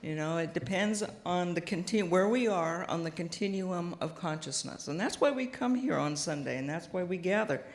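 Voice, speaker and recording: A soft-spoken woman minister giving a gentle, thoughtful sermon, pausing often with small fillers, speaking into a microphone in a church sanctuary. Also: fairly low pitch. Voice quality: quiet voice. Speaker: guest minister. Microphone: house sound system microphone. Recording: long hall reverb.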